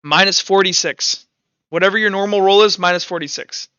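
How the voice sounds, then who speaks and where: A man's voice: high voice, fairly quick, bright, forceful tone, smooth, dry recording, lo-fi sound. A man acting as game master, mixing casual rules talk with informal, vivid narration of combat in a tabletop roleplaying game.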